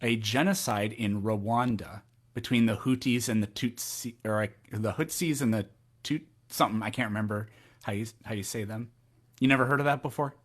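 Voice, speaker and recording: Exasperated sarcastic man in his thirties. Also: fairly low pitch. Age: 30s. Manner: exasperated, sarcastic, lists examples rapidly. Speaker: podcast host. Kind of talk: call-in podcast argument.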